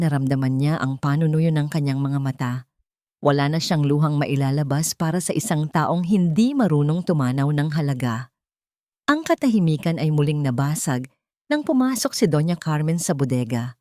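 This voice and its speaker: Low-voiced woman narrating a drama, dry sound. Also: darkish tone, dry recording. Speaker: storyteller. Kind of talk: dramatic scripted audio story narration.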